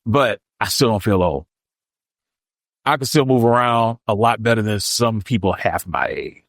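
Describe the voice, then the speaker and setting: Guy in his 40s, lively chatty storyteller, pitch all over, dry mic. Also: moderate pace, dry recording. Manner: animated, laughs often, acts out quotes in a high voice. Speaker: podcast co-host. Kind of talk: casual storytelling chat.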